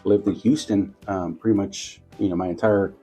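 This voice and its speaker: A man in his forties with a lowish, mellow voice and a slightly husky edge. He talks in a relaxed, storytelling way, full of fillers. Faint music plays underneath. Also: moderate pace, low-quality recording. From Guatemala. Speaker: radio station owner. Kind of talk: casual interview talk.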